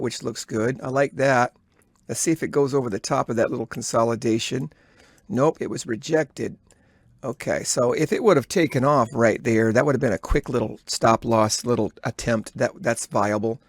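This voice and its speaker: A man giving live day-trading commentary, thinking aloud in a halting, instructive way as he talks to himself and viewers. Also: medium pitch, creaky, dry recording, clean studio sound. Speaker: day trader recording a trading journal.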